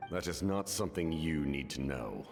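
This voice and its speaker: A very deep, gravelly, commanding villain. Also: male voice, animated, in a large hall, music in the background. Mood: guarded, imposing. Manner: measured, guarded, formal, imposing. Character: cunning, cold, commanding man, a wounded Fatui officer. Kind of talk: dramatic video game voice acting.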